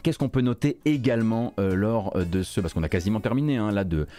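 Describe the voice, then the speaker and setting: Male host, low-pitched, emphatic opinion-led live news recap, light music bed. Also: expressive, a little fast, warm tone. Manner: emphatic, repeats words for stress. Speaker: host of a video game news stream.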